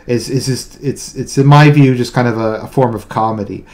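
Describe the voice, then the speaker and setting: Man, deadpan delivery, mid-pitched voice, halting casual commentary, frequent restarts. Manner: hesitant, repeats words and restarts often. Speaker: online video commentator. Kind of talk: casual monologue explaining own humour.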